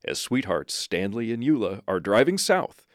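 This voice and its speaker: A man's voice: on the low side, big pitch swings, moderate pace, dry recording, clean studio sound. A man playing the scene-setting announcer of a radio play, reading scripted narration. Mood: storytelling.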